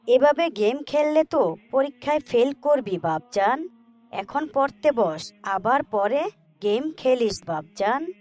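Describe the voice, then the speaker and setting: A woman's voice: sits high, lively intonation, slow, darkish tone, dry recording. A woman voicing a caring, pious mother, affectionate and instructive, in a moral cartoon. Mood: coaxing. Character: caring, pious mother, patient but concerned. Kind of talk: Islamic moral cartoon dialogue.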